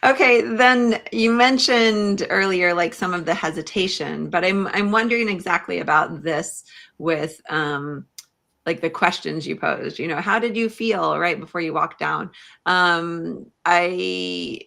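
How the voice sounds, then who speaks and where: A woman podcast host asking questions casually, in a tentative, pausing way. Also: on the low side, gravelly, fairly bright tone. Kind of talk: casual conversational interviewing.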